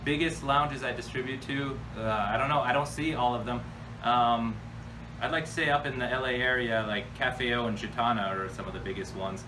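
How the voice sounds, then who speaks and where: A man's voice: medium pitch, fairly even intonation, moderate pace. A man from the United States hosting a casual, off-the-cuff question-and-answer chat in a blunt, slangy, informal way. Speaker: host answering viewer questions. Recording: distant mic with clear background noise.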